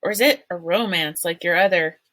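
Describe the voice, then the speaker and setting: Shy bookish boy of eleven, read by a woman, soft-spoken, hesitant. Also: lowish voice, normal speed, close to an everyday voice.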